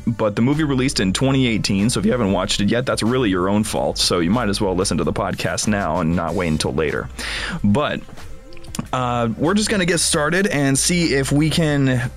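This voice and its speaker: A man hosting a podcast, chatting informally and with amusement through a casual film recap. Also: medium pitch. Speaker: podcast host.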